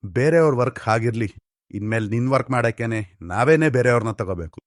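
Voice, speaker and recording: A man's voice: medium pitch, expressive, fairly quick, no room sound, clean studio sound, close to an everyday voice. A man with an Indian accent playing a cold, exasperated office manager, berating and commanding in short-film drama dialogue. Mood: furious, cold.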